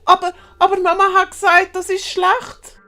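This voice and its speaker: A woman with a Swiss German accent voicing a naive, eager young boy in an audio story, halting and wide-eyed. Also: extremely high pitch, monotone, sharp tone, faint music behind, voice pushed up, full character voice. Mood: doubtful.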